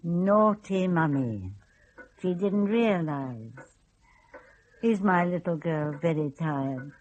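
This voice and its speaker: A woman's voice: on the low side, animated, slow pace, darkish tone, dull audio, a little hiss or hum. A woman playing a self-pitying, domineering elderly mother in a radio drama, fussy and condescending, with a British accent. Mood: cooing, martyred. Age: older. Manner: fussy, condescending, swooping delivery.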